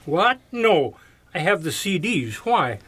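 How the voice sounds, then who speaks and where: Prickly radio programmer, sharp and husky. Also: male voice, on the high side, sing-song, slow pace, full character voice. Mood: defensive, irritated. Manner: curt, evasive, prickly. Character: touchy, defensive male community radio programmer. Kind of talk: audio theater mystery acting.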